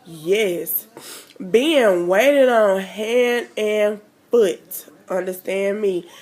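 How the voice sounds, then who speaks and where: A woman's voice: mid-range voice, very slow, a little mellow. A woman, chatting casually to camera in a rambling way, nasal and stuffed up from a cold. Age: 20s. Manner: rambling, chatty, lots of fillers. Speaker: vlogger.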